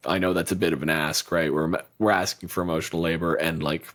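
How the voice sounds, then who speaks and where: A man's voice: deep voice, little pitch movement, fast talker, a bit bright. A man co-hosting a podcast, chatting casually in a loose, profane, rambling way with frequent restarts. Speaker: podcast co-host. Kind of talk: casual chat.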